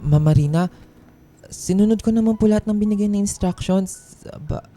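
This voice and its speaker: A woman's voice: medium pitch, moderate pace, warm tone, faint music behind, close to an everyday voice. A woman acting as an earnest, put-upon office employee, polite and pleading, with a Filipino accent in a radio drama. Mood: defensive, pleading. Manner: deferential, pleading.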